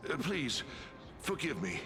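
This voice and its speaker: An actor playing a courteous, weary military officer of an ancient kingdom in a video game, speaking formally and hesitantly. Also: male voice, medium pitch, very animated, slow, noisy background. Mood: apologetic, polite. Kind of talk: video game story dialogue.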